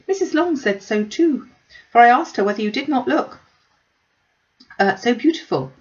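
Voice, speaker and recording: An excitable, boastful middle-aged mother from a classic novel, put on by a woman reader. She gushes effusively in a raised voice. The recording is a little dull. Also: mid-range voice, pitched above the performer's own voice, natural voice. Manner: gushing, effusive.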